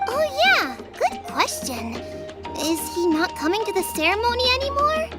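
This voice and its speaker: A woman's voice: very high-pitched, very animated, moderate pace, loud music behind the voice. A woman playing a young child in a video game, earnest, blunt and proud, with a childlike voice. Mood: curious. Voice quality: bright, childlike.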